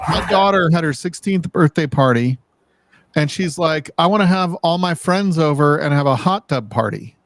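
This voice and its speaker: A man's voice: on the high side, animated, normal speed. A middle-aged man bantering and telling stories casually, with plenty of "dude," "like" and some swearing. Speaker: livestream co-host.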